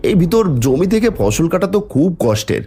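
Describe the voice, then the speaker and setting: A man's voice: a little high, very animated, fairly quick, warm tone, slight background noise. A man playing a kind, hardworking poor farmhand in a cartoon story, earnest and given to exclamations. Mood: weary, determined. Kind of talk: cartoon story dialogue acting. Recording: music under the voice.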